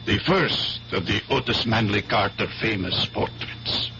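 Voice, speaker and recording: An actor playing a flustered, baffled art professor in a 1970s radio drama, speaking emphatically and theatrically. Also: male voice, lowish voice, measured pace, lightly acted. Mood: baffled, emphatic. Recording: muffled, noisy 1970s radio broadcast with hum.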